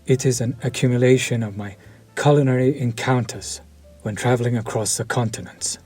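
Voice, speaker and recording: Vampire chef, male, courtly and menacing, voiced by a man; breathy mid-pitched delivery, unhurried. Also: fairly even intonation, faint music behind, natural voice. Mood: courteous. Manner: slow, formal and soft-spoken, dropping to near-whispers. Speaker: voice actor. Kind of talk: audio roleplay to the listener.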